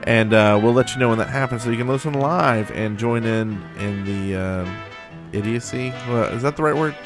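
A man's voice: mid-range voice, moderate pace. A man hosting a podcast in casual, joking chat. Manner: joking, playful. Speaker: podcast host. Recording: music playing behind the voice.

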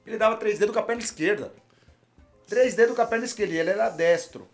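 A man with a Brazilian accent, a retired footballer, telling animated stories in a casual chat and repeating his phrases. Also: high-pitched, quick pace, soft background music. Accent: Brazilian Portuguese.

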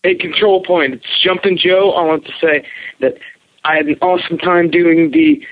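A man leaving a casual, halting voicemail over the telephone. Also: fairly high pitch, fairly even intonation. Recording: thin phone-like sound.

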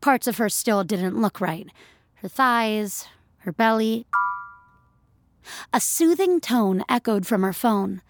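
A woman's voice: on the high side, animated, slow pace, long pauses, no echo, soft background music. A woman narrating scripted audio fiction in the third person.

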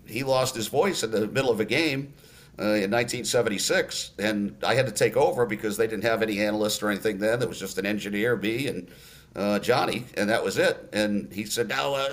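An older man from Massachusetts recalls his radio career in a loose, relaxed way. He keeps his pitch in a middle range and pauses often on "uh" as he goes. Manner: relaxed storyteller, many "uh" pauses. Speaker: radio play-by-play announcer. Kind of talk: podcast interview, storytelling.

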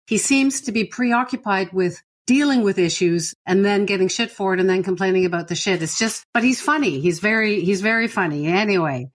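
A woman's voice: mid-range voice, a little fast, hardly any pauses. Playful, joking woman podcast host. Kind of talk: casual chat.

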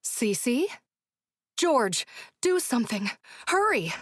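High, frantic pleading mother. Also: big pitch swings, takes it slowly, dry recording, exaggerated voice. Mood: panicked. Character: frightened, pleading mother of a sick little girl. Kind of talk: full-cast audiobook acting.

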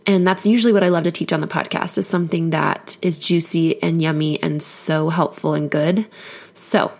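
A woman hoarse from a cold, giving an earnest, encouraging solo podcast talk as a life coach. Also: low-pitched, fairly even intonation, normal speed, darkish tone.

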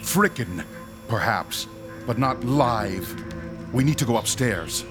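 A man's voice: mid-range voice, lively intonation, takes it slowly. A man playing a dignified, pedantic doctor leading ghost hunters in a radio drama, speaking formally and precisely. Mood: calm, commanding. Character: dignified, pedantic male ghost-hunting doctor and team leader. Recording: music behind the voice.

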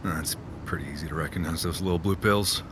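A man's voice: a little fast, lightly acted. An actor playing a weary, hesitant man with a sleep disorder in a naturalistic audio drama. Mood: tired, low-key. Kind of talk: naturalistic audio drama acting, some inner narration. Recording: rumbling vehicle noise behind the voice.

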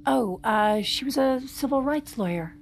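A woman's voice: high voice, animated, measured pace. An actress in an audio drama playing a guarded, evasive married woman who speaks hesitantly and deflects. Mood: wistful, guarded. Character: guarded, evasive married woman in therapy, curt when pushed. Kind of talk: naturalistic audio drama acting.

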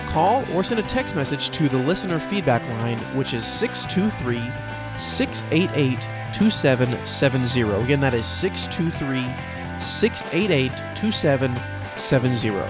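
Casting: man, average pitch, scripted podcast host read, strong music bed. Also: animated, normal speed. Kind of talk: scripted show sign-off narration. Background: loud guitar music.

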